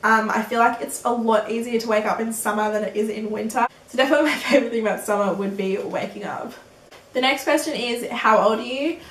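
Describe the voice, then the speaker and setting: A woman's voice: fairly high pitch, quick pace, reverberant, far from the mic, low-quality recording. An eighteen-year-old woman answering viewer questions in a casual beauty vlog, chatty and giggly, with lots of ums and likes. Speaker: beauty vlogger.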